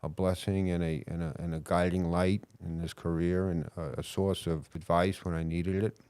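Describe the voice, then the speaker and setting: A man's voice: sits low, little pitch movement, moderate pace, darkish tone, clean tone, no echo. A middle-aged man with a New York accent, a police chief talking casually and earnestly in an interview, with frequent hesitations. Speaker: police chief, podcast guest.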